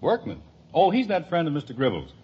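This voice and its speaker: A man's voice: a little high, wildly swooping pitch, fairly quick, breathy edge, heavily acted. An actor playing a genial, courteous family father in a 1950s radio sitcom. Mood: welcoming. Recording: muffled old radio broadcast recording.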